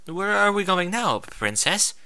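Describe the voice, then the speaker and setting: A man's voice: high-pitched, big pitch swings, a little slow, bright, forceful tone, clear, resonant tone, dry recording, pitched above the performer's own voice, full character voice. A man voicing a timid, frightened little pony colt with a shaky, pleading manner in a storybook reading. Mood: scared, shaky.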